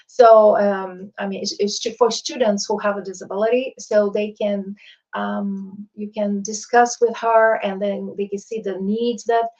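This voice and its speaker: A woman's voice: medium pitch, flat delivery, measured pace, darkish tone, muffled recording. A woman from Brazil with a Brazilian accent, a university coordinator giving an informational presentation, hesitant with frequent fillers and restarts. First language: Portuguese. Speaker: international coordinator.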